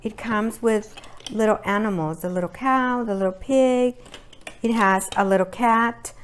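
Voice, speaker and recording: A middle-aged woman giving a demonstration for parents as an early childhood educator, speaking in simple, deliberate phrases with short pauses. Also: mid-range voice, slight background noise. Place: indoors at a table by a brick fireplace.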